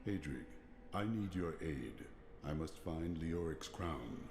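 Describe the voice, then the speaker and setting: Stern warrior hero for fantasy game: extremely low, terse, commanding, music underneath. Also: male voice, animated, takes it slowly, full character voice. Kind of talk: fantasy video game voice acting. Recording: music behind the voice.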